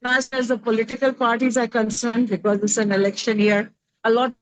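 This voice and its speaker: A middle-aged woman with an Indian accent speaking on a panel discussion, thoughtful and pausing often to search for words with many fillers. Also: on the high side, flat delivery, dry recording, low-quality recording. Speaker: roundtable panelist.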